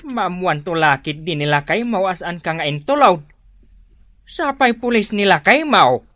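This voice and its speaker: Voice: mid-range voice, animated, moderate pace, fairly bright tone, no room sound, close to an everyday voice. An actor playing an indignant older male tricycle driver in an Ilocano radio drama comedy, protesting emphatically.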